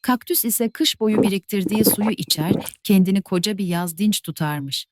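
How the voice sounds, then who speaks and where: A woman narrating classic fairy tales for children in a soft, measured way. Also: mid-range voice, no echo. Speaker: storyteller. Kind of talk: scripted fairy-tale narration.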